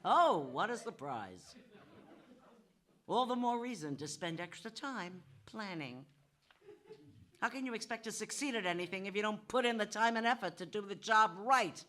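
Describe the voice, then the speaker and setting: A woman's voice: medium pitch, expressive, normal speed, a bit bright, slight background noise, natural voice. An actress playing an exasperated, sarcastic New York woman who works in banking, lecturing in a live audio play. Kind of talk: live stage audio drama.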